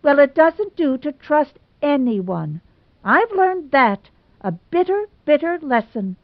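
A woman's voice: high-pitched, sing-song, slow, dry recording, highs cut off, voice pushed up, exaggerated voice. A woman voicing a melodramatic, self-pitying young woman who speaks in a gushing, tearful, theatrical manner.

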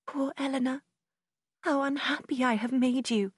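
Lady reader doing a heartbroken young woman, high pitched, weepy n dramatic, pleading, classic novel audiobook, dry sound. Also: animated, a little slow, a little mellow, breathy edge, dry recording, exaggerated voice. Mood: tearful, sorrowful. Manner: tearful, emotional, pleading. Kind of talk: audiobook character acting in a simplified classic novel.

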